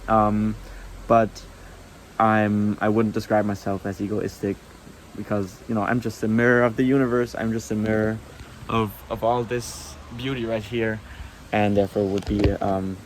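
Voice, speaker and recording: A man's voice: fairly low pitch, level tone, normal speed, a little hiss or hum, rough recording. A young man with a German accent, a German native speaker, talking casually to camera with many ums and restarts. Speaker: vlogger.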